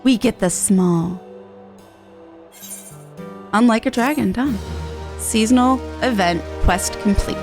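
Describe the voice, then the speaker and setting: Higher-voiced woman streaming a game. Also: slow, long pauses, darkish tone. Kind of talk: reading game dialogue aloud, playthrough commentary. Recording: game music behind the voice. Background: video game music.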